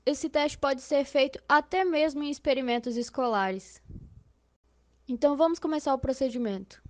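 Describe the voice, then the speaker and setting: A woman's voice: high-pitched, close to an everyday voice. A woman with a Brazilian accent voicing a patient female inspector who explains step by step in a scripted educational cartoon.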